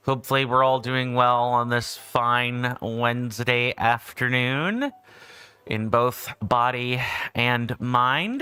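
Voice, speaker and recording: A man's voice: medium pitch, pushed and bright, clean tone, no echo. A man, just woken up, chatting casually as a game stream host, rambling with frequent self-corrections. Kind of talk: casual livestream chat.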